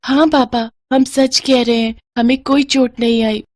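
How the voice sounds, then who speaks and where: A woman's voice: on the high side, fairly even intonation, measured pace, darkish tone, pitched above the performer's own voice, close to an everyday voice. A woman voicing a poor, innocent little girl in a children's story, with a childlike, pleading and polite manner. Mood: meek.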